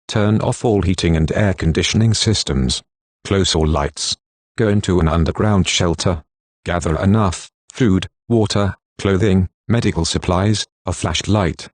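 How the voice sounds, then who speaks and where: Low-pitched synthetic male announcer. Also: no room sound. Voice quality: synthetic text-to-speech voice. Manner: formal, measured, impassive. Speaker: emergency alert announcer. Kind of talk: scripted emergency broadcast announcement.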